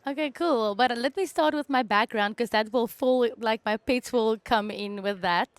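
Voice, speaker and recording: A woman's voice: on the high side, moderate pace, no room sound. A woman from South Africa whose first language is Afrikaans, a guest animal scientist chatting casually and telling stories. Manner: chatty storyteller, laughs between stories. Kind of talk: casual chat.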